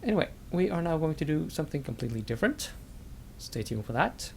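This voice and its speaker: A man giving casual let's play commentary, halting and thinking aloud in fragments. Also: on the high side, very animated, creaky, slight background noise.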